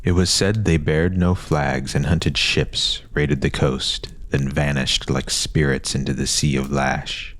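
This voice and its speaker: A male narrator with an exceptionally deep voice reads a fantasy tale. The delivery is scripted storytelling, captured very dry with no room sound. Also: animated, takes it slowly, creaky, hardly any pauses, fairly bright tone, dry recording.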